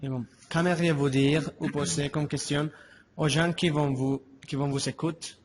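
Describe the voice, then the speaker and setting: A man asking interview questions in slow, formal phrasing. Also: on the high side, fairly even intonation, a little hiss or hum. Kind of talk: reading prepared interview questions.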